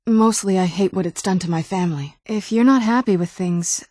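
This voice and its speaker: Fantasy game companion: guarded ancient vampire noblewoman, woman actor, lowish mellow voice, wry understatement, close dry sound. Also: moderate pace, no room sound, close to an everyday voice. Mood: guarded, wistful. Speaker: adventuring companion character in a fantasy video game. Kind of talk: video game dialogue acting.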